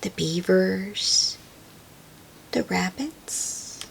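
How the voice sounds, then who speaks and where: A woman reading a picture book aloud to young children as host, in an expressive, sing-song way with questions and soft exclamations for the listener. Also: mid-range voice, drawn-out words, creaky, slight background noise.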